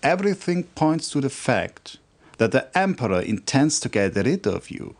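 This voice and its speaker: An actor playing a fervent, conspiratorial military officer in a video game cutscene, speaking in a formal, emphatic, declamatory way. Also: male voice, medium pitch, sing-song, normal speed. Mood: earnest, conspiratorial. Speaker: military officer briefing a commander.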